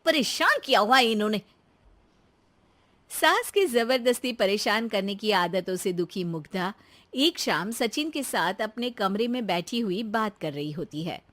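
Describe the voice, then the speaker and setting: A woman's voice: mid-range voice, expressive, measured pace, fairly bright tone. A woman narrator reading a scripted moral story.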